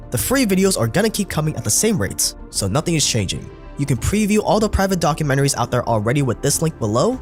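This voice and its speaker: A man narrating a scripted documentary in a wry, sarcastic way, his voice sounding a little sick. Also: a little high.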